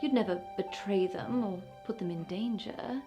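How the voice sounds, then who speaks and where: A woman playing a mockingly polite smuggler boss villainess who taunts a captive with deliberate, condescending menace in a fantasy roleplay. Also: mid-range voice, music in the background, pitched below the performer's own voice, natural voice. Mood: veiled threat. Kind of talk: point-of-view fantasy roleplay.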